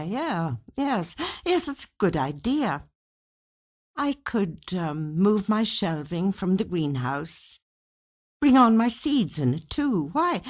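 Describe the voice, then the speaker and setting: A woman's voice: sits low, exaggerated voice. A woman voicing a musing, hesitant older husband who loves his garden, in a read-aloud short story. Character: older gardening husband, grumbly, mulling, warming to ideas.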